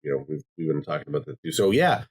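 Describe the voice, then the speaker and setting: A man with a very low voice hosts a loose, casual podcast. He rambles with lots of fillers and swears freely as he chats with his guests. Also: big pitch swings, fast talker, slightly rough. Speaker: podcast host.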